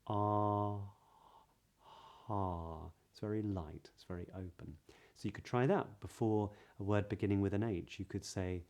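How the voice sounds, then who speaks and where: A man with a southern British English accent giving a pronunciation lesson as a voice coach, explaining clearly and modelling sounds. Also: lowish voice, fairly even intonation, unhurried, a little mellow. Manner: clear, demonstrative, breathy example sounds.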